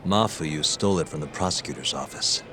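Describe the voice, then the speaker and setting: A man's voice: extremely low pitch, fairly quick. An actor playing an easygoing, slightly awkward male private investigator in a video game, casual and hesitant. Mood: calmer, questioning. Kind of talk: English game dub cutscene acting, naturalistic. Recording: music behind the dialogue.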